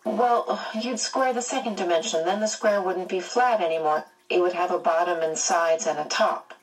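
A woman's voice: on the low side, moderate pace, natural voice. A woman voicing an anxious, blunt teenage girl who talks earnestly and hesitantly, recorded at a distance.

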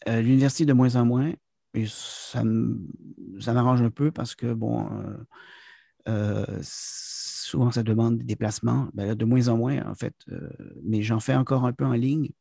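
A man's voice: medium pitch, level tone, a little slow, creaky, mellow, no room sound, clean studio sound. A man from Quebec with a Québécois French accent, a guest in an interview, talking casually and hesitantly with many fillers and restarts. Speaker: guest, trainer and author.

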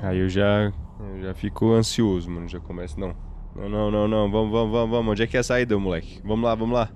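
A man's voice: fairly low pitch, flat delivery, fast, darkish tone, soft background music. A man roleplaying casually in a tabletop game, urgent and slangy, often repeating his words. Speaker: tabletop RPG player. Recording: faint background noise.

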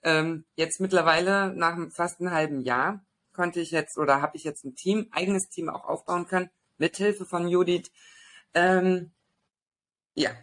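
A woman with a raspy voice telling her story as a podcast guest, eager but halting, with many fillers and quick tag questions. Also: fairly low pitch, fairly bright tone. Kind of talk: personal testimony in casual conversation.

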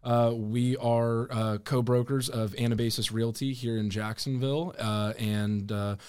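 A man's voice: mid-range voice, little pitch movement, a little slow, a little mellow, clean tone, dry recording. A young man hosting a podcast in a studio, chatting casually and asking rambling questions full of fillers. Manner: hesitant, filler-heavy, meandering questions. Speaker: podcast host. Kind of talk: casual interview chat.

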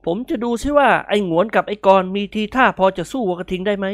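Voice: medium pitch, quick pace, no echo, studio quality, pitched above the performer's own voice, lightly acted. A reader voicing a cheerful young man teasing his friends in an audiobook.

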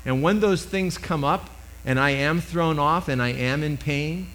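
Loud emphatic older male preacher. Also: a little high, a little slow. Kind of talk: sermon. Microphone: microphone.

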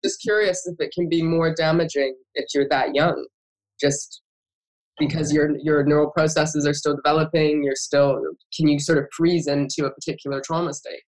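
A woman's voice: low-pitched, fairly even intonation, normal speed, darkish tone, low-quality recording. A woman hosting an interview, reflecting on her own experience and asking questions with frequent fillers and restarts. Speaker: podcast host. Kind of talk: conversational interview.

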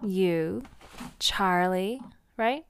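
A woman's voice: mid-range voice, drawn-out words, clean tone. A woman playing a charismatic young newcomer, polite and a little hesitant but playful, in an audio drama. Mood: curious, polite. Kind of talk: naturalistic audio drama acting.

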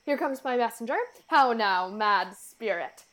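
A woman playing a scheming, commanding fairy king in a radio play, with imperious, deliberate Shakespearean delivery. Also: a little high, lively intonation, fairly bright tone. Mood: expectant.

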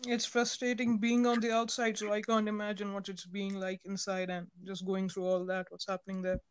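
A man's voice: high voice, completely flat delivery, fairly quick, clear, resonant tone. A man hosting a casual podcast, curious and asking his guest questions. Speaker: podcast host.

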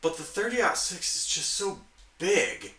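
A man's voice: fairly high pitch, huge pitch swings, unhurried, pushed and bright. A man chatting casually as a podcast host, explaining his hobby with nerdy enthusiasm. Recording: roomy, echoey, distant from mic.

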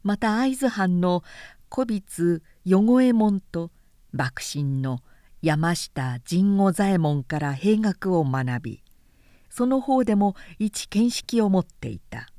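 A woman reading literary fiction aloud as an audiobook narrator, with measured and carefully enunciated delivery. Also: lowish voice, animated, dark tone, dry recording, studio quality.

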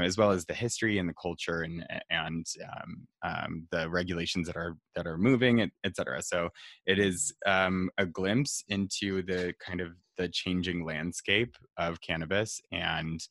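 A man's voice: low voice, a bit bright, no echo, studio quality. A young man from rural Nevada chatting casually on a podcast, rambling with many fillers and restarts. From rural Nevada, USA. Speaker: marketing staff guest.

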